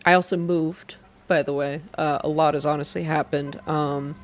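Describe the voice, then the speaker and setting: A woman's voice: deep voice, steady tone, normal speed. A woman hosting a reading channel, speaking in an ASMR style for her listeners. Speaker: video game reader and channel host. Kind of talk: ASMR narrated reading and casual updates to viewers.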